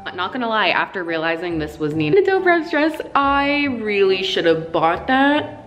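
A woman's voice: medium pitch, very animated, moderate pace, fairly bright tone, soft background music. A young woman vlogging casually about shopping, playful and exclamatory with theatrical asides, talking to a handheld camera. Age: young adult. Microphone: handheld camera at arm's length.

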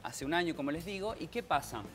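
A man from Córdoba with a strong local Argentine accent talks with passion as a guest on a TV studio couch. His voice sits a little high for a man. A music bed runs clearly beneath the lapel-miked interview. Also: fast talker. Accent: Cordobés Argentine Spanish. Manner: passionate, animated with hand gestures. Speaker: actor and guest.

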